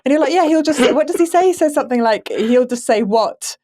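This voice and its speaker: A woman's voice: sits high, lively intonation, fast, mellow. A woman chatting informally on a podcast, phrasing her thoughts loosely and conversationally. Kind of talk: casual discussion.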